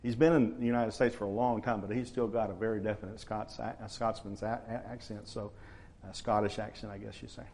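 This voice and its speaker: A man, preaching a sermon to his church in a relaxed, conversational way. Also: fairly low pitch, gravelly, a little hiss or hum. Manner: conversational, unhurried, frequent uh fillers. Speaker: pastor.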